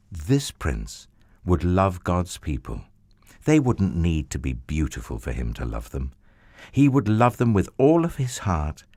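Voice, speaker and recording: A man narrates a Bible story for children in a fairly low voice. His pitch swings dramatically, and he draws out key words for theatrical emphasis. The delivery is unhurried and expressive, recorded very dry. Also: no room sound.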